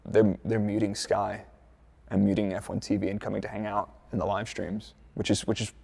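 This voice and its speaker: A man's voice: on the low side, level tone, a little fast, vocal fry, a little mellow, breathy edge. An American man from Dallas, a former racing engineer turned content creator, answering interview questions in a chatty, laid-back way with joking asides. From United States, Dallas.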